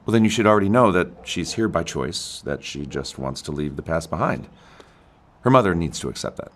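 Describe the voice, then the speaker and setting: An actor playing a smug, evasive murder suspect in an audio drama, sardonic and mock-polite, sometimes stammering. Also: male voice, low-pitched, lively intonation, a little fast.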